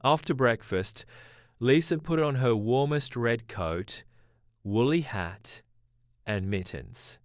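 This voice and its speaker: Male bedtime narrator, measured and soft, unhurried, mid-pitched. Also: animated, no echo, studio quality. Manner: gentle, measured. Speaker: storyteller. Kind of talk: scripted bedtime story narration.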